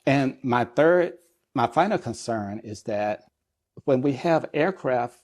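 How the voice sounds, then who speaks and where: A middle-aged man answers as the specialist guest in an interview. His voice sits at an average pitch for a man. He lays out explanations in measured, deliberate phrases, often pausing before he goes on. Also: expressive, a little mellow. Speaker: guest expert, air traffic controller and business executive.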